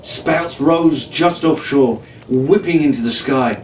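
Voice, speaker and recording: A man's voice: fairly high pitch, slow pace. Male storybook narrator in an echoey room. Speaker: audiobook reader. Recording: echoey room, distant mic, faint hiss.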